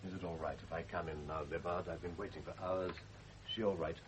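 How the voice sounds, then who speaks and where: Actor as a very deep-voiced sardonic husband. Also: male voice, fairly even intonation, brisk, natural voice. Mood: weary, relieved. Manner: dry, ironic, articulate. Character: sardonic, world-weary husband under suspicion, quick to anger. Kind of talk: period radio drama acting. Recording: hissy old radio drama recording.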